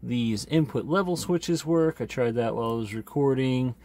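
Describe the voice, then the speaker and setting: A man giving a casual, unscripted product demonstration as an online seller, plainspoken and a bit halting. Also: mid-range voice, a little mellow, slight background noise.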